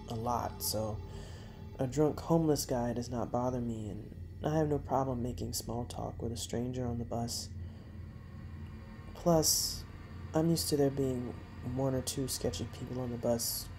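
A narrator reading a first-person true story in a measured way, pausing between short phrases. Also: mid-range voice.

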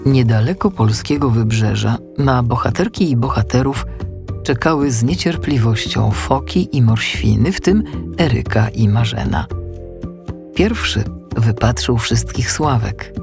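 Expressive male storyteller over music. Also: mid-range voice. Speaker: audiobook narrator. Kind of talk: scripted children's story narration.

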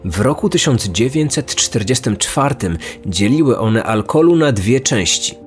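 A man's voice: medium pitch, expressive, measured pace. A man hosting a podcast, reading a scripted true-crime narration. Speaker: podcast host. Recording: music under the voice. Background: background music.

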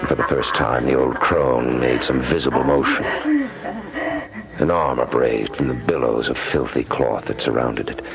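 A man's voice: very deep voice, fairly even intonation, gravelly, over music. A man narrating a horror radio drama in an ominous, dramatic, menacing manner. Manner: slow, dramatic, menacing delivery. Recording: muffled old radio broadcast recording.

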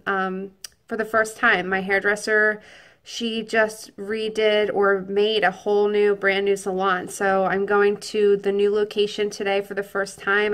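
A woman's voice: medium pitch, fairly bright tone. Bubbly young woman vlogging to camera. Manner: chatty and bubbly, many "like" and "um" fillers. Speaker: beauty vlogger. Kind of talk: casual vlog chat to camera. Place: dim living room at home, indoors. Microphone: camera held at arm's length.

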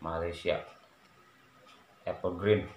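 A middle-aged man talks in a fairly low voice, casually presenting things as a home vlogger. His delivery is very slow and halting, with short repeated phrases. The room sounds clearly echoey, with some noise behind him. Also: level tone, darkish tone. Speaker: vlogger showing a cassette collection. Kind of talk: casual vlog chat, showing items. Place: small room at home, indoors.

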